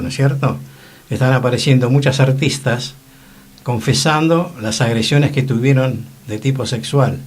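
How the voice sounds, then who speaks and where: A man with an Argentine accent giving colloquial, conversational commentary on a radio panel. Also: mid-range voice.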